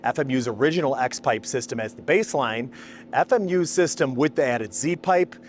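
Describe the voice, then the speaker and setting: A man's voice: mid-range voice, normal speed, a little hiss or hum. A man hosting a car testing video, explaining things in an informal, enthusiastic way with emphatic phrasing. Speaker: host of a car exhaust testing channel. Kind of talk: scripted narration and casual explainer to camera.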